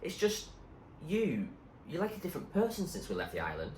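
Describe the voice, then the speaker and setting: An anxious girl from a storybook sea adventure, acted out by a male reader. The voice is pushed up high and swings widely with desperation. It sits in an echoey room with faint noise. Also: wildly swooping pitch, a little fast, darkish tone. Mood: hesitant.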